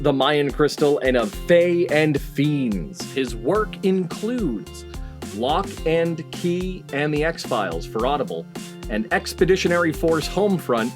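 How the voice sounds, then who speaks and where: A middle-aged man hosting a comedy panel game with playfully ceremonious, announcer-like introductions. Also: fairly high pitch. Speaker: game show host.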